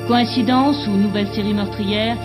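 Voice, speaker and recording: A woman reading an archive broadcast news report in a formal, measured delivery. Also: mid-range voice, steady tone, over music. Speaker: news reader.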